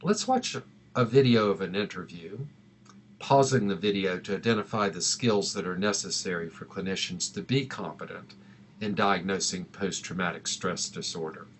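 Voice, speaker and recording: Male instructor for explanatory narration: measured, didactic, fairly low pitch, faint noisy hum behind.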